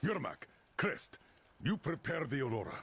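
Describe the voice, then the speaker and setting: An actor playing a decisive military leader in a video game, with an authoritative, clipped way of giving orders. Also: male voice, mid-range voice, big pitch swings, normal speed, a little hiss or hum. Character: commanding military leader of a survivor crew, decisive.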